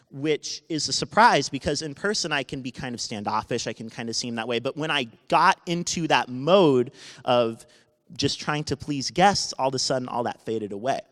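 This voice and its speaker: A man in his twenties tells a true story from his own life to a live audience. His voice is loud, smooth and resonant, and his sentences often stop and start again. A long reverb from the hall trails behind each phrase. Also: mid-range voice. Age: 20s. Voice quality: naturally loud voice. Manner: halting, with many restarts and self-corrections. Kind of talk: unscripted personal story told live to an audience. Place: university event space.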